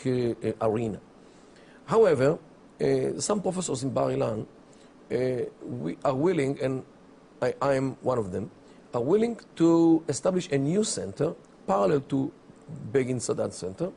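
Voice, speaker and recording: A middle-aged man from Israel, not an English speaker, giving deliberate interview answers full of pauses and fillers. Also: medium pitch, gravelly, mellow, a little hiss or hum. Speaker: interview guest, academic. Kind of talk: measured explanatory interview answers.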